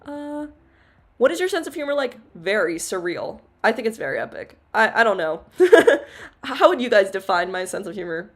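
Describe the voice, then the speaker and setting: A woman's voice: big pitch swings, normal speed. Chatty young woman, full of "like"s. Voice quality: low-ish, loud voice. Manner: rambling, filler-heavy, giggly, lots of "like". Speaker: VTuber streamer. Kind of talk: casual Q&A livestream chat.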